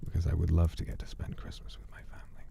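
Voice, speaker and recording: A man hosting a livestream, chatting casually and reflectively in a soft-spoken, sincere, unhurried way that often drops to a breathy murmur. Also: in a whisper. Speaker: livestream host.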